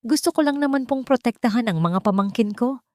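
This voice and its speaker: Whiny scheming sister-in-law, lady doing her, pitched up high, dry sound. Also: lively intonation, a little fast, darkish tone, dry recording, natural voice. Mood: pleading. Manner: pleading, falsely tearful. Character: scheming sister-in-law, woman, self-pitying and manipulative.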